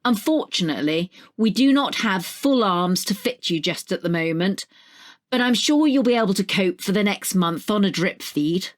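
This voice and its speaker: A woman's voice: mid-range voice, animated, normal speed, lightly acted. A woman reading the part of a detached, clinical doctor in a short story, matter-of-fact in manner.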